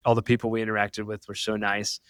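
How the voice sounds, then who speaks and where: A man's voice: fairly low pitch, monotone, fast, a bit bright, smooth, no room sound, studio quality. A man hosting a podcast interview, talking in an eager, chatty way with lots of praise for his guest. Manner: enthusiastic, chatty, many "awesome" and "super cool". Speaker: podcast host.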